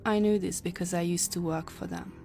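A woman's voice: fairly low pitch, fairly even intonation, a little fast, gravelly, a little mellow, soft background music. A woman playing an earnest, grave time-travel scientist in an audio drama. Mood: grave explaining.

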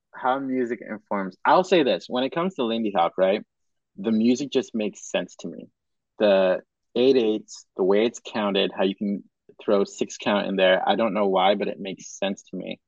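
A young man from Madagascar hosting a podcast, talking in a relaxed, casual way and restarting his sentences as he thinks. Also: fairly low pitch, measured pace, no room sound, highs cut off. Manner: relaxed, says bro and dope a lot, thinks aloud with restarts. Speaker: podcast host.

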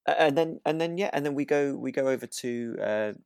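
A man co-hosting a podcast in a relaxed conversational style, hesitant and often rephrasing as he goes. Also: mid-range voice, fairly quick, gravelly, smooth, no echo, clean studio sound. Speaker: podcast co-host. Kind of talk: relaxed conversational discussion of a radio drama.

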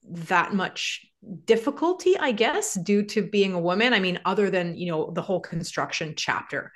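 A woman's voice: fairly low pitch, lively intonation, normal speed, fairly bright tone. Chatty woman. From Canada. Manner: conversational, many fillers like "you know" and "like". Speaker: guest, real estate investor. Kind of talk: podcast interview answers.

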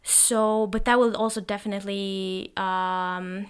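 A young Norwegian woman, tired, talking in a hesitant, reflective way, with many pauses and fillers. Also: mid-range voice, level tone, clean tone. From Norway. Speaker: podcast host. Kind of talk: casual solo monologue. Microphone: testing a new microphone.

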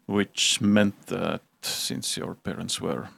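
A man's voice: deep voice, steady tone, slow, vocal fry, fairly bright tone. A man from Estonia with an Estonian accent, a radio-amateur guest answering questions casually, often stammering at the start of sentences.

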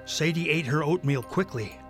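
A man's voice: on the high side, moderate pace, soft background music. A man narrating a scripted children's story.

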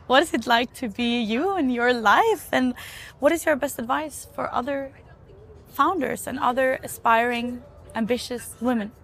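A woman's voice: a little high, moderate pace, a bit bright. A woman hosting an interview podcast, introducing her guest and asking questions.

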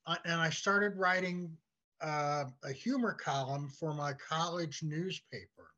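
Higher-voiced halting man on dull lo-fi audio. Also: bright, forceful tone. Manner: halting, repeats words as he searches for phrasing. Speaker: guest, playwright and comedy writer. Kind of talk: casual interview chat. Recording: dull, lo-fi voice track.

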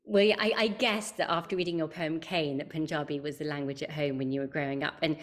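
A woman hosts a conversational podcast interview, asking curious, reflective questions. Her voice sits a little low for a woman. She speaks in an easy, engaged way. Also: steady tone, fast.

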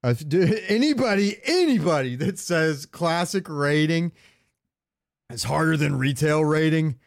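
A man chatting as a gaming podcast host, his voice a bit higher than average. He is opinionated and swears freely as he rambles, and the sound is very dry, with no room to it. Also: lively intonation, measured pace, no room sound. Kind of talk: casual gaming chat.

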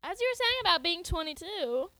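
A woman's voice: very high-pitched, big pitch swings, fairly quick, bright, forceful tone, no echo. A twenty-year-old woman co-hosting a podcast, chatting casually in a bubbly, giggly way with lots of "like.". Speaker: podcast co-host. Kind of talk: casual chat.

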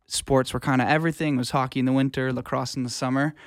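A man's voice: mid-range voice, fairly even intonation, fast talker, no echo, studio quality. A young adult man telling his story as a guest in a casual, informal conversational style. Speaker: interview guest, audio engineer.

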